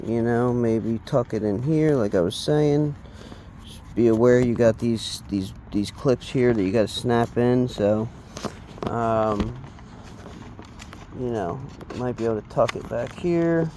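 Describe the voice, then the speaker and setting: A man giving a casual, unscripted hands-on tutorial, speaking haltingly and trailing off as he works with his hands. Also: mid-range voice, mellow, slight background noise. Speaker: DIY installation demonstrator.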